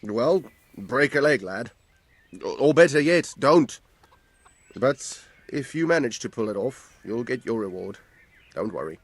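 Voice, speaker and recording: An actor playing a put-upon medieval village tanner in a video game, complaining bitterly in colourful terms. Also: male voice, medium pitch, big pitch swings, a little slow, husky, no echo, full character voice. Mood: doubtful, wry. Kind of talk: video game dialogue acting.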